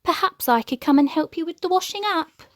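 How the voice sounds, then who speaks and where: A woman's voice: very high voice, lively intonation, a little fast, no room sound, pitched above the performer's own voice. A woman voicing a polite, eager, innocent young male bear in a children's storybook, with an earnest manner. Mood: eager, helpful.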